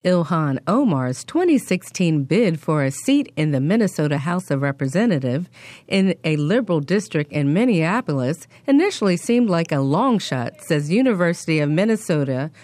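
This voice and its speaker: Low-voiced woman reading a news report. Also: animated, normal speed, darkish tone, no room sound. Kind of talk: scripted news narration.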